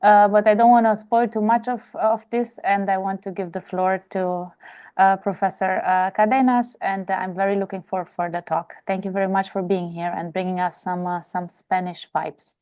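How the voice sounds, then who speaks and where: A woman's voice: mid-range voice, steady tone, moderate pace, mellow, clear, resonant tone. A woman hosting an online seminar, introducing the guest speaker hesitantly with many fillers.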